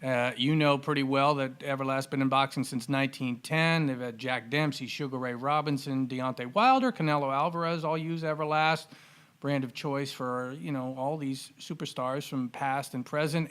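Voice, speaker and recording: A man's voice: medium pitch, steady tone, moderate pace, fairly bright tone, clean tone. A man hosting a podcast, chatting conversationally and delivering sponsor plugs and introductions. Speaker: podcast host.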